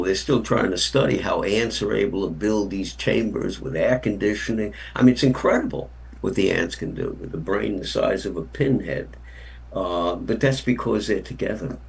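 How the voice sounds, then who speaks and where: A man with a very deep, gravelly voice speaks slowly and hesitantly, pausing often to find his words. His sound is husky and rough. He sounds far from the microphone, coming through a video call. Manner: halting, thoughtful, full of fillers.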